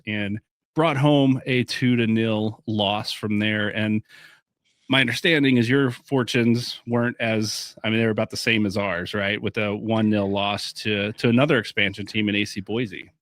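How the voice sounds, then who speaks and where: A man's voice: lowish voice, no room sound. A man hosting a soccer fan podcast, upbeat and talkative, moving from a scripted intro to friendly chat. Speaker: podcast host.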